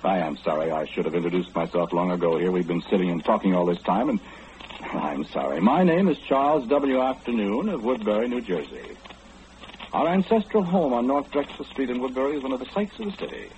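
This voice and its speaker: Old radio drama role: omniscient, formal gentleman, low pitch with very lively swings, precise and unruffled. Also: brisk. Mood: polite, composed. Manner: formal, fussy, precise, matter-of-fact. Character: know-it-all gentleman who calmly knows everything. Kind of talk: old-time radio play acting. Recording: dull, noisy old radio recording.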